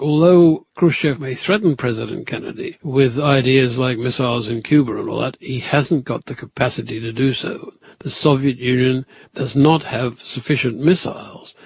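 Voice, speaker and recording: A man with a husky voice giving thoughtful, deliberate historical commentary in an emphatic, explanatory way. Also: medium pitch, darkish tone.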